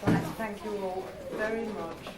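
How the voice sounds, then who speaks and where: A woman's voice: medium pitch, steady tone, normal speed, recorded from a distance. A woman giving an informal thank-you speech on behalf of a community group. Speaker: park friends' group representative. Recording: echoey, off-mic, loud crowd and noise.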